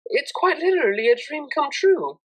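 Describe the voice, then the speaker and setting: Woman voicing a refined, lively young mare cellist. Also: high voice, moderate pace, highs cut off, voice pushed up. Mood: earnest, joyful. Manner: formal, precise, proper wording.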